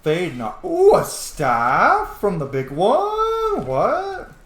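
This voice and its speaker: An excitable man chats through a video game for his viewers in a fairly high voice that moves up and down freely. He talks informally and swears casually. The room adds noticeable echo to his voice. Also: very slow. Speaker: let's play host. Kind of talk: casual gameplay commentary to viewers. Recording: roomy echo, faint background noise.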